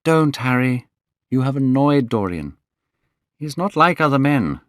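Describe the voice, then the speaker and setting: A man voicing a gentle, earnest painter friend in a spoken storybook. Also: medium pitch, sing-song, measured pace, no room sound, studio quality, voice pushed up. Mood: sincere.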